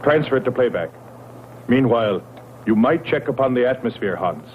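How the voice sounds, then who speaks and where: A man's voice: medium pitch, lively intonation, moderate pace, phone-quality sound, lightly acted. An actor playing a practical male spaceship commander in a 1960s science fiction film, giving clipped, authoritative orders. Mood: commanding. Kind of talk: 1960s sci-fi film acting. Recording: thin band-limited old film soundtrack, faint noise.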